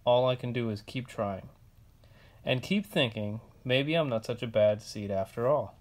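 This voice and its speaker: A man voicing a self-confessed bad sunflower seed from a children's picture book, with dramatic, deliberate delivery. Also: mid-range voice, natural voice. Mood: earnest, hopeful.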